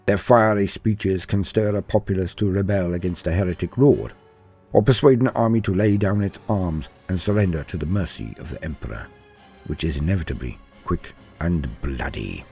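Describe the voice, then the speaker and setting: A man's voice: deep voice, normal speed, vocal fry, mellow, soft background music. A man delivering dramatic scripted narration in a theatrical, grandiose manner as a lore host.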